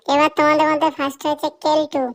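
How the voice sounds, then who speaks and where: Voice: high-pitched, monotone, rapid-fire. A performer voicing a strict, bossy cartoon schoolteacher who scolds and instructs pupils in a comedy dub. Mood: instructing.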